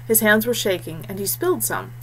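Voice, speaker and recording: A woman's voice: medium pitch, expressive, fairly quick, rough recording. Woman narrating fantasy fiction, faint noise. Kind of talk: scripted fantasy story narration.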